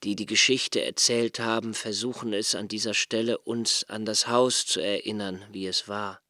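An actor playing a brooding, intense male narrator in a literary radio drama, with halting, contemplative and emphatic phrasing. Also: fairly low pitch, fairly even intonation, sharp tone, no echo, studio quality. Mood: quiet, contemplative. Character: brooding male narrator reciting a prodigal's homecoming, searching and intense.

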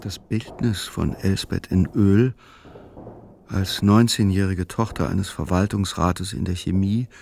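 A man acting as the pensive narrator of a literary radio play, voicing a solitary widower's thoughts in a dry, deliberate way. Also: low voice, gravelly, a little mellow, a little hiss or hum.

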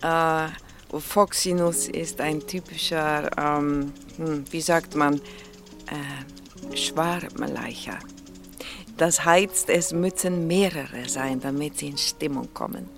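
A playful Slovak woman scientist, speaks slowly in a lowish voice. She is flirtatious and teasing, pausing to hunt for words in intimate drama dialogue. Music plays behind her. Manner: teasing, halting word searches. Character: playful, flirtatious Slovak woman biologist studying lake fish.

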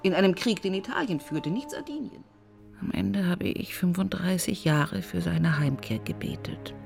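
Stern, low-voiced older widow. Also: female voice, lively intonation, normal speed, vocal fry, a little mellow, soft background music. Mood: firm, tender. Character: older Sardinian widow, stern but loving adoptive mother. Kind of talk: naturalistic radio drama dialogue.

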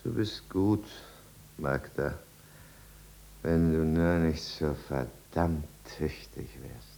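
An actor playing a middle-aged drunken husband in a 1950s German radio drama, rambling and sardonic, sliding between self-pity and false jauntiness. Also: male voice, very deep voice, expressive, warm tone, full character voice. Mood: maudlin, sardonic. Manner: rambling, sardonic, with drawn-out musing. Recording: gravel-free but aged 1950s radio recording.

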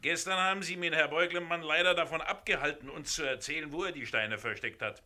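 An actor playing a sly, cheeky turncoat crook in a radio crime drama, insinuating and mockingly respectful. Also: male voice, fairly high pitch, brisk, sharp tone. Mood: mock-polite, menacing.

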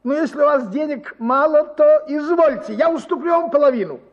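An actor playing a tipsy, boastful middle-aged army officer in a radio story, effusive and theatrical, drawling and wheedling. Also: male voice, very high voice, a little fast. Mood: wheedling salesmanship. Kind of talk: dramatized radio short story, partly sung. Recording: slightly muffled, guitar music behind.

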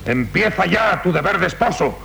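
A man's voice: high-pitched, animated, normal speed, creaky, bright, forceful tone, exaggerated voice. An actor playing a domineering middle-aged count and father in theatrical radio drama, curt and commanding. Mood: imperious, angry. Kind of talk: theatrical 1970s Spanish radio drama acting. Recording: noisy 1970s radio recording.

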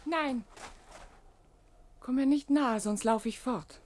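An actress playing a gentle, earnest and devout young maiden in a poetic radio play. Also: female voice, high voice, slow. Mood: guarded.

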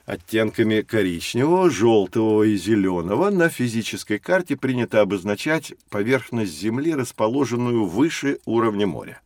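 An actor playing a kindly, enthusiastic professor, explaining things expressively to children in a scripted educational audio drama. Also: male voice, on the low side, lively intonation, normal speed, few pauses, a little mellow, no room sound, natural voice. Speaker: professor, teacher.

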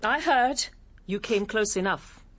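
An actress playing a direct, protective, sharp-tongued English woman in a radio drama. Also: medium pitch, expressive, a little slow. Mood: scornful.

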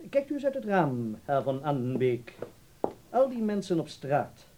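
An actor in a Dutch radio drama playing a blunt, self-assured ballet teacher giving clipped, emphatic instructions. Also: male voice, on the high side, sing-song, a little slow, background noise. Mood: blunt, instructing.

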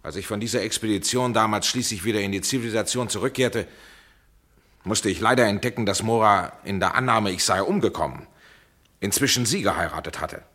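An actor playing an earnest, forthright family doctor in a German radio crime drama, polite, formal and firm. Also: male voice, on the low side, a little fast, fairly bright tone.

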